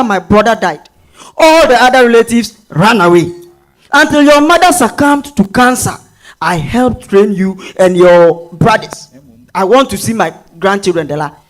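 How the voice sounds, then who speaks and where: Overbearing older uncle played by a man, Ghanaian accent, strikingly high swooping voice, scolding stage delivery. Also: animated, moderate pace, poor audio. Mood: scolding, insistent. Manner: admonishing, overbearing. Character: bossy, scolding older uncle demanding grandchildren. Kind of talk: staged dramatic reading.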